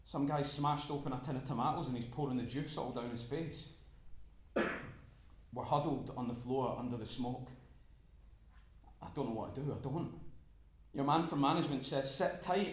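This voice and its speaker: A man acting a frightened oil rig worker in a stage drama. His voice sits a little high, and he speaks haltingly, repeating himself breathlessly. The room echoes around him. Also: close to an everyday voice. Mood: desperate, subdued. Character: frightened oil rig worker trapped in a fire, trusting management. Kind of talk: dramatic first-person stage monologue.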